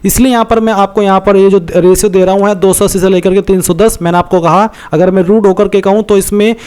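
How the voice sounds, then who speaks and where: An Indian man with a high-pitched, smooth and clear voice presents an informal online explainer. He speaks straight to his viewers in a conversational way, circling back over his points and mixing in English words. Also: steady tone, fast, darkish tone. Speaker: YouTube host giving exam information.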